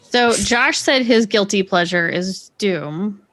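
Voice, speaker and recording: A woman's voice: medium pitch, slow pace, a bit bright. A woman hosting a casual group discussion, putting questions to her fellow panelists. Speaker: podcast host posing questions.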